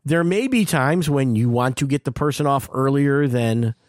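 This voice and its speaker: A man's voice: mid-range voice, normal speed, dry recording, clean studio sound. A middle-aged man hosting a podcast, talking in a rambling, explanatory way about stand-up comedy. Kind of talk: casual chat. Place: podcast studio. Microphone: studio mic.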